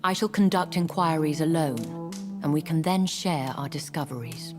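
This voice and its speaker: Actress as a low-voiced, refined lady vampire. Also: normal speed, over music, lightly acted. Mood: warm. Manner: formal, poised, gently teasing. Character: refined, teasing, centuries-old aristocratic lady vampire. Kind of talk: dramatic video game acting.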